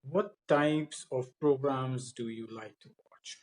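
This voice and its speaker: A man's voice: mid-range voice, slow, darkish tone. A man with a mid-range voice runs a mock English speaking test. He is blunt and instructive, putting questions and coaching on answers.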